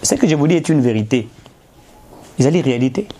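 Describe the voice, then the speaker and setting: A man's voice: medium pitch, animated, quick pace, warm tone, slight background noise. A middle-aged man from DR Congo with a Congolese French accent, speaking as a political commentator straight to his audience, emphatic and insistent. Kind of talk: impassioned direct-to-camera address.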